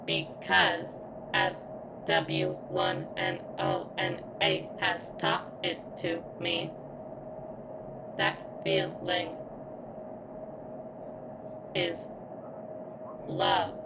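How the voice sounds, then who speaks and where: A woman playing a sentient robot girl in an ASMR roleplay, speaking haltingly and deliberately as if in constant pain, earnest and devoted. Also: rumbling low, huge pitch swings, gravelly, background noise, exaggerated voice. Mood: wistful, aching.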